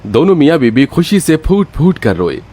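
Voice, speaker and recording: A man's voice: on the high side, big pitch swings, normal speed, mellow. A man narrating a scripted village moral tale as its storyteller.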